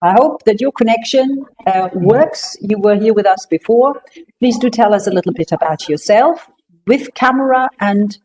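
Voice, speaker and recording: A woman runs an online panel over a video call in an upbeat, chatty and brisk manner. She keeps things moving and hands the floor from one speaker to the next. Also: medium pitch, expressive, darkish tone, slight background noise, low-quality recording. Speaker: moderator.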